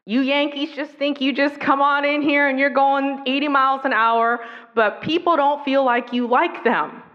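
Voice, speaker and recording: A woman's voice: high voice, fairly even intonation, normal speed, pitched above the performer's own voice, lightly acted. A woman voicing a blunt, folksy older male mentor from the South who scolds her fondly. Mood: chiding. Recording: dull recording in an echoey hall.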